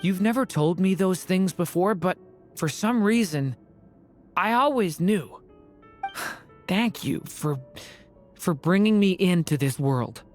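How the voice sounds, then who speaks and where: An actress playing a gentle, thoughtful young dragon boy in a fantasy game, soft-spoken and earnest. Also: on the low side, animated, takes it slowly, long pauses. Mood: grateful, wistful. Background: music.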